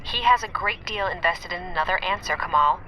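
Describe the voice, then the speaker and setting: An actress playing a composed artificial intelligence in a radio drama, speaking in a measured, formal way. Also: female voice, fairly low pitch, background noise, close to an everyday voice. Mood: grave, urgent. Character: composed female artificial intelligence, earnest tactical leader. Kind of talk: naturalistic radio drama acting.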